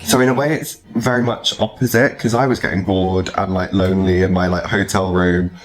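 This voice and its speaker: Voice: sits low, fairly even intonation, fairly quick. A podcast host, likely in their thirties, chatting casually in a gushing, stop-start way peppered with "like". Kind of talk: casual chat.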